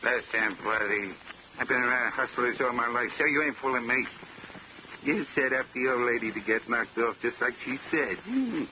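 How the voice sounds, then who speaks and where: A man's voice: mid-range voice, animated, normal speed, close to an everyday voice. An actor playing a dim-witted, boastful gangster thug in a 1940s radio drama, talking in tough-guy slang and losing his train of thought. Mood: scheming. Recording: lo-fi noisy 1940s radio recording.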